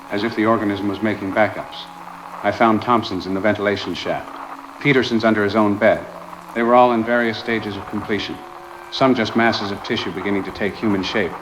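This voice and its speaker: Man acting a deep, haunted Arctic researcher. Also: natural voice. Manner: grim, confessional, clipped short sentences. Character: haunted, exhausted male Arctic research doctor recording a log. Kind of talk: audio drama personal log narration. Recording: dull, clearly noisy log recording.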